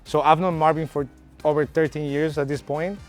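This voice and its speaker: A man's voice: on the high side, flat delivery, fairly quick, faint music behind. A man in his thirties from Colombia who owns an off-road shop, speaking in a friendly, chatty casual interview. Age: 30s. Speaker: off-road shop owner and competitor.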